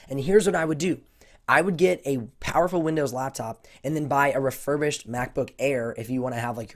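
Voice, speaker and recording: Guy on a tech livestream, kinda hoarse from a cold, lots of ums and restarts. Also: mid-range voice. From United States. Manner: rambling, many fillers and restarts. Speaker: tech YouTuber hosting a livestream Q&A. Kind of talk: casual livestream Q&A.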